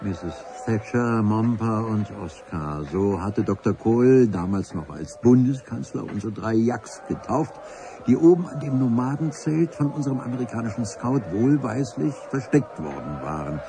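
An actor playing a solemn, pedantic civil servant who narrates a radio play in formal, wordy sentences with a husky voice. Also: male voice, mid-range voice, lively intonation, warm tone, a little hiss or hum, close to an everyday voice. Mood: solemn, wry. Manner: formal, wordy, dramatic pauses.

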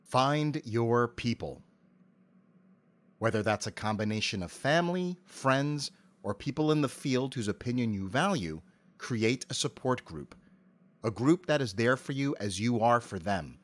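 Male interview guest, mid-pitched, articulate and measured, with prepared-sounding answers. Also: animated. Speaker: interview guest, amateur voice actor and animator.

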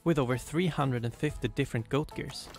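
A man's voice: medium pitch, brisk, gravelly. A man presenting a video game as its designer in scripted promotional narration. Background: trailer music.

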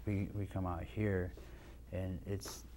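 Low, mellow-voiced man, easygoing and rambling. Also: flat delivery, takes it slowly, a little hiss or hum. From Nebraska, USA. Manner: easygoing, rambling with many restarts and "you know". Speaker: guest, dance instructor. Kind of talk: casual interview talk. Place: TV studio set, indoors. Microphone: lapel mic.

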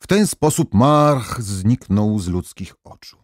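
Low-voiced man telling a fairy tale. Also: expressive, takes it slowly, no room sound, studio quality. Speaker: storyteller. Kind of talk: scripted fairy-tale narration with musical backing.

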